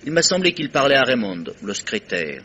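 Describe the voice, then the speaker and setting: Stiff, forceful army major. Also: male voice, medium pitch, sing-song, fairly quick, lo-fi sound, a little hiss or hum. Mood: stiff, precise. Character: male army major, stiff, curt, prickly. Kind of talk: vintage French radio mystery acting.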